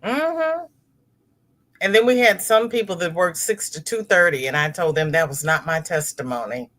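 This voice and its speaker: A woman's voice: lowish voice, lively intonation, moderate pace, a bit bright. A middle-aged woman hosting a casual crochet livestream, reading viewer comments aloud and greeting people by name.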